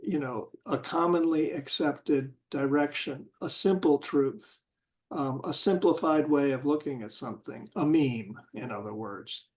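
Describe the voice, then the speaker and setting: Slow, halting man with a high voice. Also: darkish tone. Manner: halting, searching for words with many restarts. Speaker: discussion group member. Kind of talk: casual group discussion.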